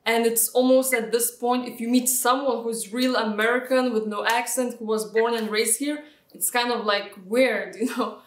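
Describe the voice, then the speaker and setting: A woman's voice: on the high side, fairly even intonation, normal speed, few pauses, a little hiss or hum. A woman from Lithuania with a Lithuanian accent, chatting as an interview guest with frequent fillers and restarts. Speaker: guest, real estate agent. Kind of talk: casual interview answers. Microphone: video call. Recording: roomy, distant video-call audio.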